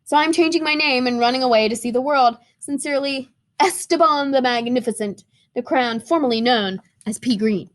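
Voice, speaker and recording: Lady doing a snooty grand runaway crayon for a kids book, formal, highish. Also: normal speed, natural voice. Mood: indignant, proud. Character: grandiose runaway pea-green crayon, self-styled magnificent adventurer.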